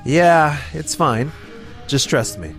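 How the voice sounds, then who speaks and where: A man voicing a calm, easygoing young fish supplier in a comic dub, reassuring and unruffled. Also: on the high side, takes it slowly, faint music behind, heavily acted. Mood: calm, assured. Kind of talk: dubbed comic voice acting.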